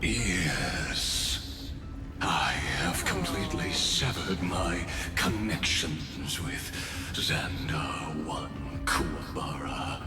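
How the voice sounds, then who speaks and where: An imperious ancient mastermind in a video game, very low and extremely slow. He swoops theatrically through cryptic proclamations with long pauses. His voice echoes under loud music. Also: animated, exaggerated voice. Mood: stately. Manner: theatrical, deliberate, drawn-out pauses. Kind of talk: dramatic video game voice acting.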